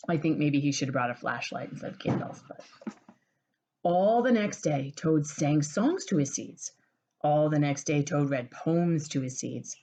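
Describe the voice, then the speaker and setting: A woman reading a storybook aloud to young children in an expressive teacherly way. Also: deep voice, measured pace, a little hiss or hum. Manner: expressive, addressing small children.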